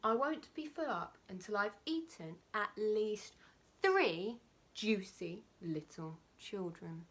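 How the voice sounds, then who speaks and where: Storybook villain: enormous gloating male crocodile, played by a woman. Slow, breathy, sly and menacing, with a bright edge. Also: sing-song, heavily acted. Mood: greedy scheming. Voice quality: slow, low, often breathy near-whisper. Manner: menacing, relishing each word.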